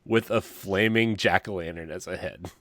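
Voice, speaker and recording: A man's voice: deep voice, moderate pace, a bit bright, no room sound. A man co-hosting a podcast, reading aloud for comedy and chatting casually, chuckling through his words. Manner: chuckling, amused delivery. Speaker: podcast co-host.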